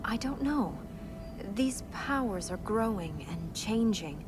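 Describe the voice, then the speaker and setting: An actress playing an earnest, thoughtful young princess in a video game, speaking seriously and with measured care. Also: female voice, medium pitch, animated. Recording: clear background noise.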